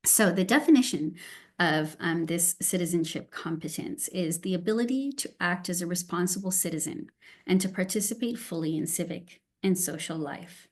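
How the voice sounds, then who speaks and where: A middle-aged woman with a Canadian English accent talks over a video call, her voice sitting slightly low. She speaks haltingly and a bit nervously, with many ums and restarts as she moves through her slides. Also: animated, darkish tone. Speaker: guest speaker, Montessori teacher educator. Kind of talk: online presentation with shared slides.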